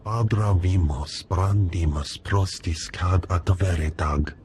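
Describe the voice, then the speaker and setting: A video game alien with a low, slow voice. He intones a made-up language in measured phrases, with noisy ambience behind him. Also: a little mellow.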